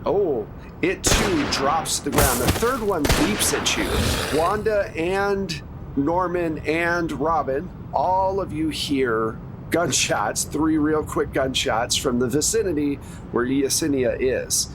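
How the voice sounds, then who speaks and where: A man's voice: fairly high pitch, animated, slow. A man speaking as game master, improvising narration in the second person and explaining dice rules in a conversational way. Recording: noisy recording with clear background noise.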